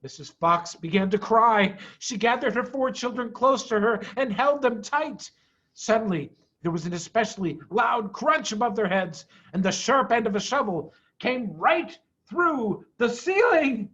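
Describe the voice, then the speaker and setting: A man reading a children's story aloud with dramatic, expressive pauses and emphasis. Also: extremely high pitch, dull audio. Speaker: storyteller, head of school.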